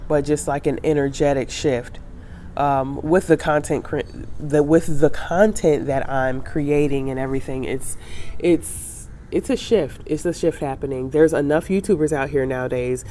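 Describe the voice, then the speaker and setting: A woman's voice: deep voice, moderate pace, mellow, a little hiss or hum. A woman in her thirties with a congested, nasal voice, getting over a sinus cold, chatting to camera in a reflective vlog with many fillers and restarts. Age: 30s. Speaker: travel vlogger. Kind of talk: casual chat to camera.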